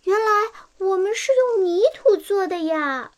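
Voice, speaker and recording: A woman's voice: extremely high pitch, a little slow, a little mellow, dry recording. A woman voicing a curious little child of about five in an audio drama. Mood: curious, amazed.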